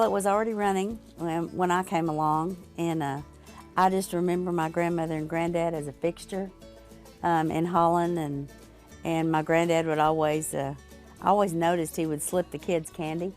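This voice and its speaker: Older lady, kinda low voice, thinks out loud, lots of ums and uhs. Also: steady tone, soft background music. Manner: reflective, halting with many fillers. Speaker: store owner, interviewee. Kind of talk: reflective interview answers.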